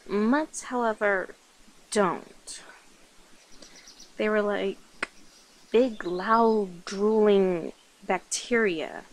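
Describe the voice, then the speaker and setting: A woman playing a shy, playful and protective centuries-old vampire in an intimate ASMR roleplay, speaking softly and hesitantly. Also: medium pitch, a little hiss or hum, poor audio. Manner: hesitant, soft-spoken, breathy whispers, nervous giggles. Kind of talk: ASMR roleplay, intimate one-sided dialogue.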